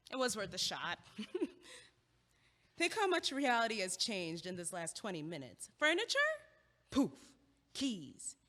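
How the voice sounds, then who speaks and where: A woman playing a sharp, guarded, quick-witted young college student in a naturalistic comedic audio play. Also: mid-range voice, sing-song, full character voice. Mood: playful, showy. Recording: echoey hallway-like reverb.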